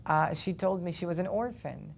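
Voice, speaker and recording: A young man from Ukraine, earnestly telling his personal story as an interview guest, with quick animated bursts. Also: sits high, vocal fry, slight background noise. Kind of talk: personal testimony in a studio interview.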